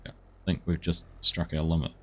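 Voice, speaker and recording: A man's voice: sits low, completely flat delivery, brisk, fairly bright tone. A man chatting casually while live-streaming a farming game, thanking viewers and answering their messages.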